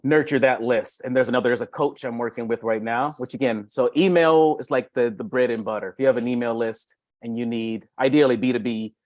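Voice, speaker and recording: Middle-aged male consultant, mid-pitched, dry recording, loose rambling delivery full of repeated phrases, informal meeting talk. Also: steady tone, a little fast, a little mellow, no echo. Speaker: B2B marketing consultant. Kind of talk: casual, unscripted self-introduction in a meeting.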